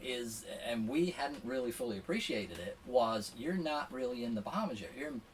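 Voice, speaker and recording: A man's voice: medium pitch, expressive, normal speed. Man telling a story, slightly distant mic. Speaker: podcast guest, sailor. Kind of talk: casual conversational storytelling. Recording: distant mic with faint background noise.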